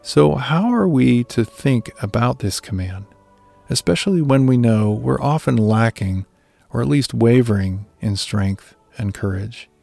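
A man's voice: medium pitch, lively intonation, a little slow, gravelly, mellow, no echo, faint music behind. A man reading a scripted devotional reflection as a religious speaker.

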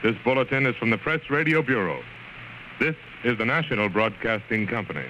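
A man's voice: medium pitch, expressive, fairly quick. An American man formally reading a news bulletin as a 1930s radio announcer, on an old recording. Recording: dull, band-limited 1930s radio recording.

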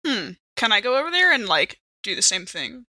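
Bright-voiced woman roleplaying. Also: medium pitch, sing-song, a little fast, dry recording. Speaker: player in a horror tabletop podcast. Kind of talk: casual improvised in-character roleplay.

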